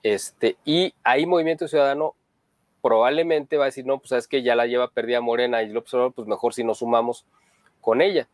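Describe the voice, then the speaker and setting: Guy giving his political take, steady and blunt, mid pitch, dry close sound. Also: fairly quick, dry recording. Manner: steady, opinionated, pointed. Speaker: news commentary co-host. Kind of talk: political commentary chat.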